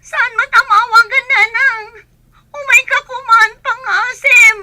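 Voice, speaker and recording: A woman's voice: extremely high pitch, steady tone, a little slow, sharp tone, no echo, exaggerated voice. A woman with an Ilocano accent playing a whiny, pleading pregnant daughter in a comedy radio drama. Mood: distressed pleading.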